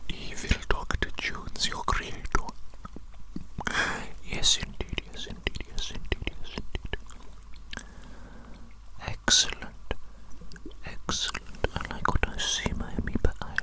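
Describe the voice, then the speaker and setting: Casting: ASMR performer as eccentric evil doctor and doting creator, fully whispered, repetitive, soothing, quaintly formal, science-obsessed. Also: close to an everyday voice. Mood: pleased, musing. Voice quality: breathy unvoiced whisper. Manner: repeats phrases slowly, formal old-fashioned wording, soothing.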